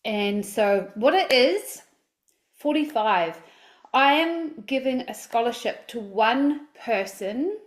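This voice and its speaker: A woman in her forties speaking in a slightly higher register, chatty and upbeat as she talks to her livestream viewers. She coaches people and rambles warmly through restarts and fillers. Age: 40s. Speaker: life coach. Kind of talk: casual livestream talk.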